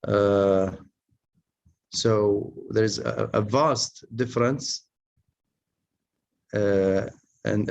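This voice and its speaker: Halting man lecturing over muffled audio. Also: mid-range voice, darkish tone, slight background noise. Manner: halting, many fillers and restarts, non-native phrasing. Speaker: webinar speaker on research methods. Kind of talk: lecture to postgraduate students.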